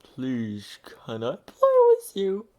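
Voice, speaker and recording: A man's voice: mid-range voice, huge pitch swings, slow, warm tone. A man voicing a lonely young male giraffe in a storybook, hopeful and eager, asking politely. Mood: pleading. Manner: polite, pleading questions.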